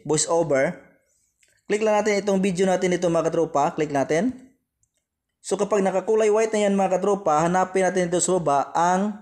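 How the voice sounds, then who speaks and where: High-voiced Filipino man giving app tutorial. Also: a little mellow. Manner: repetitive, addressing viewers directly as friends. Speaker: tutorial host. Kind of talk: step-by-step app tutorial voice-over.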